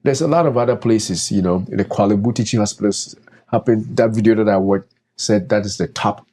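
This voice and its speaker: Deep, mellow-voiced man giving advice. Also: fairly quick, a little hiss or hum. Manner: conversational, many fillers and restarts. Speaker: doctor and co-host. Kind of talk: casual advice chat.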